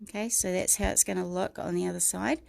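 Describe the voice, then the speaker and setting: A middle-aged woman with a New Zealand accent, croaky this morning, chatting through a livestream craft tutorial and greeting viewers by name. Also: fairly low pitch, steady tone, quick pace, darkish tone, dry recording. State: croaky, clearing her throat.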